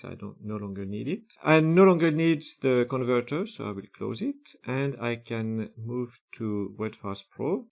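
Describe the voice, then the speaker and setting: A man walks through a software task one click at a time, naming each step before he does it. His voice has a gravelly, creaky edge and sits at an everyday middle pitch. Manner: methodical, narrating each step with frequent "uh" fillers. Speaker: software tutorial presenter. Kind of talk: screen-recorded software walkthrough.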